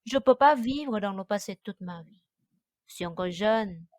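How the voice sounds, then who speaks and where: A woman's voice: medium pitch, expressive, a little slow, no room sound, studio quality, lightly acted. A woman playing a weak-willed, weary father in a radio drama, placating and imploring. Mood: weary, pleading.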